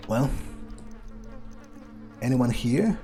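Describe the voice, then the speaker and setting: A man's voice: medium pitch, very animated, very slow, dark tone, a little hiss or hum. A man playing a video game and commenting in character, thinking aloud with hesitant, dryly understated musing. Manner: hesitant musing, dry understatement, casual swearing. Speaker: video game player and host.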